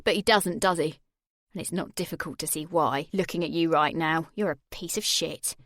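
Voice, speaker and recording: A woman voicing a cold, controlling man who growls low, clipped demands in a storybook reading. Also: moderate pace, fairly bright tone, no room sound, clean studio sound. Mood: menacing.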